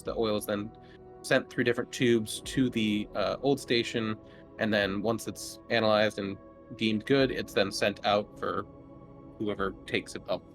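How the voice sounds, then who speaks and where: A man acting as game master, narrating and explaining casually in a halting, hesitant way. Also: lowish voice, a bit bright. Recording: faint music under the voice. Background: faint music.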